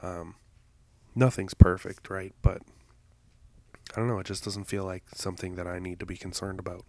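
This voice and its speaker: A man hosting a podcast, congested from allergies, rambling in a halting way with many fillers and self-corrections. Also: deep voice, little pitch movement, a little mellow, dry recording. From Canada. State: congested, stuffed-up nose. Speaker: podcast host. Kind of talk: rambling solo intro.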